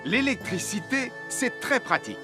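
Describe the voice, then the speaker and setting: Friendly cartoon firefighter, high-pitched, instructive, reassuring, clear delivery, music behind. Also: male voice, very animated, moderate pace. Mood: instructive, upbeat. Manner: didactic, reassuring, clearly articulated. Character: friendly, responsible adult male cartoon firefighter. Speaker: firefighter character giving safety advice. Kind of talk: French-dubbed children's cartoon safety lesson.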